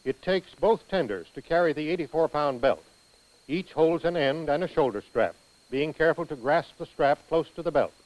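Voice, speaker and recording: American male training film narrator, higher-pitched. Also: animated, a little mellow, low-quality recording. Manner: precise, measured, instructional. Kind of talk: scripted instructional narration.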